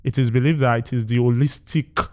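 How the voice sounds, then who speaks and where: Measured Nigerian man, smooth voice. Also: mid-range voice, fairly even intonation, darkish tone. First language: Yoruba. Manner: measured, thoughtful, with frequent pauses and fillers. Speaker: poet guest. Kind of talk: explanatory interview answers. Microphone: studio microphone.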